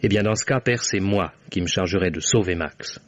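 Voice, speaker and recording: A dull, old-fashioned radio recording carries a young man acted out by a male performer with a fairly low voice. He is a loyal, earnest friend and lawyer who speaks emphatically, in the theatrical manner of a fifties radio play. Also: brisk, slight background noise, natural voice. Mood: indignant. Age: young adult. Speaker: radio drama actor. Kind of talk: 1950s French radio drama acting.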